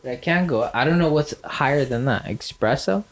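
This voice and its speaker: A man's voice: medium pitch, animated, moderate pace. Nasal man rambling sarcastically. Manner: rambling, sarcastic, lots of fillers. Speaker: podcast co-host. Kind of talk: casual chat.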